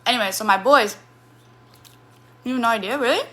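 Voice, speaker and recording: Chatty woman streamer with a bright voice. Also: a little high, brisk. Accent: Missouri. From Missouri, USA. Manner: chatty, rambling, reads and answers comments. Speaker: livestreamer. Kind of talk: casual chat with live chat.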